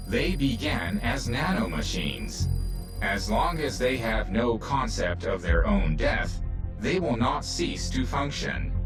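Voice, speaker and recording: A video game's artificial intelligence, unhurried and exact. He lays out each point formally and analytically, heard at a distance with music over the scene. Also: medium pitch. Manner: precise, deliberate, formal. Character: male artificial intelligence, analytical, coolly explanatory. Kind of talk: video game cutscene exposition.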